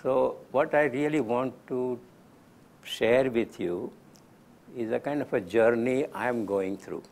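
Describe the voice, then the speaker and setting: A man in his nineties, whose first language is Gujarati, gives a gentle lecture at a very slow pace. His voice sits at an ordinary middle pitch, and he breaks into soft laughs as he speaks. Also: gravelly. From India. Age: 90s. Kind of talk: spiritual talk.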